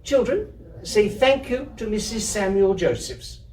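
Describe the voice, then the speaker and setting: A man's voice: sits high, big pitch swings, a little slow, darkish tone, echoey room, far from the mic, voice pushed up. A man voicing a courteous, sensible old grandmother in a storybook reading.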